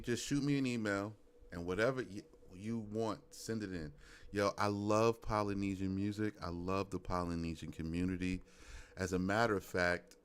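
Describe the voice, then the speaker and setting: A man's voice: sits low, clean tone. A man with a Brooklyn accent hosting a live music review stream, laid-back and loose, leaning on "you know what I'm saying.". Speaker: host. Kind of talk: casual livestream chat.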